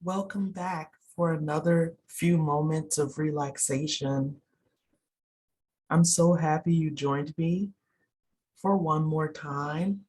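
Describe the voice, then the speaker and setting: A person leading a guided meditation in a soothing, unhurried way, pausing between short phrases. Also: medium pitch, darkish tone, slightly rough. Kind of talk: guided meditation, slow instructions.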